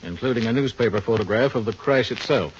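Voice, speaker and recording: A formal male airfield owner played by an actor speaks with irritable restraint in vintage radio drama style. His pitch is medium. The old recording is muffled and clearly noisy. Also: fast, lightly acted. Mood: formal, guarded. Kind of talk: 1950 radio drama acting.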